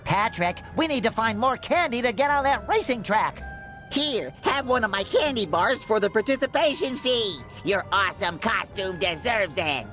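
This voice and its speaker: A woman playing an excitable, earnest, childlike male sea sponge in a cartoon video game, eager and dramatic. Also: fairly high pitch, normal speed. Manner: eager, dramatic, exclamatory delivery. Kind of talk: animated video game voice acting. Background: game music.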